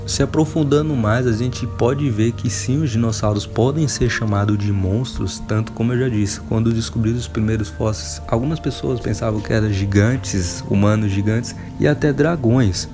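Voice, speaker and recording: A Brazilian man hosting a casual enthusiast video, talking in an emphatic, informal, wonderstruck way. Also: fairly low pitch. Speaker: video host.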